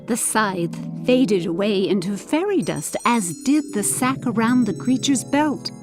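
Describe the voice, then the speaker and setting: High-pitched, slow woman storyteller. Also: sing-song. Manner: formal, theatrical, measured. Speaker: audiobook narrator. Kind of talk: scripted dramatic storybook narration. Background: faint music.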